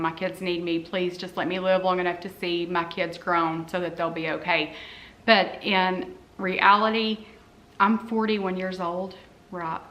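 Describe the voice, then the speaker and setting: A woman's voice: lowish voice, fairly bright tone, low-quality recording. A woman in her forties talking casually to camera as a vlogger, earnest and heartfelt, rambling with frequent "um"s. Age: 40s. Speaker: cleaning vlogger.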